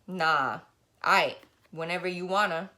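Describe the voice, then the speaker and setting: Woman reading as plain-spoken, firm father, bright voice. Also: fairly low pitch, measured pace. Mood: tender, casual. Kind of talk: audiobook character voice.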